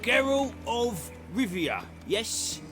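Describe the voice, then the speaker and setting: A courteous, formal gatekeeper in a fantasy game. His high voice swoops up and down and takes its time, with music behind it. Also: very animated, slow pace, full character voice. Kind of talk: video game cutscene acting.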